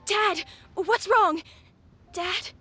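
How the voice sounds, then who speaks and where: A young girl in a fantasy game. She has a high, youthful voice with an earnest, anxious temper, and faint music plays behind her. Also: very slow, pushed and bright, full character voice. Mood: alarmed. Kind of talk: video game voice acting.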